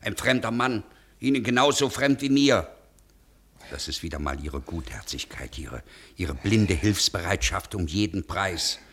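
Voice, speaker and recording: Officious pharmacist for 1950s radio play: forceful, sharp-edged, emphatic, immovable rule follower. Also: male voice, sits low, animated, a little slow, slightly rough, exaggerated voice. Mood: stubborn, indignant. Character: rigid, conscientious male pharmacist, stubborn stickler for rules. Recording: vintage 1950s radio recording.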